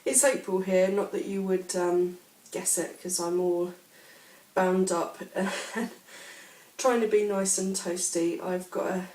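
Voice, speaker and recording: A woman's voice: on the low side, steady tone, slow, darkish tone, far from the mic. A woman hosting a casual craft podcast while she has a bad cold, sounding sniffly and hesitant, with plenty of ums and restarts. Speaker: podcast host. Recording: roomy echo, speaking away from the mic.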